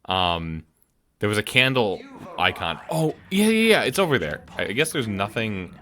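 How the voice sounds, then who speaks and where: A man casually commenting on a video game as he plays, thinking aloud and halting with many restarts. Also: lowish voice, very animated, pushed and bright, a little hiss or hum. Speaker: let's play streamer.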